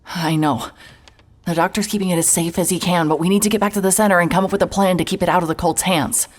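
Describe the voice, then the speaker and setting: A woman's voice: on the low side, fairly even intonation, fast talker, natural voice. An actress playing an eager young woman investigator in an audio drama, who talks in a breathless rush and stumbles over her words. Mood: urgent.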